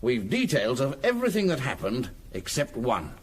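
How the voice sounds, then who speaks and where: Shrewd British police detective, very expressive. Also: male voice, medium pitch, husky. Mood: deducing, assured. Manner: probing, measured, authoritative. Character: shrewd senior male police detective, patient and methodical. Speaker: actor playing a senior detective. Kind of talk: radio drama acting.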